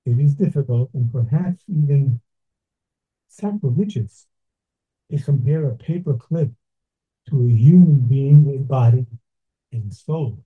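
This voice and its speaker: Older New man, husky, phone-thin. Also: mid-range voice, expressive. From Brooklyn, New York. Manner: slow, deliberate, with pauses. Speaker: speaker giving a talk. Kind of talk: measured reflective address. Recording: tinny phone-like sound.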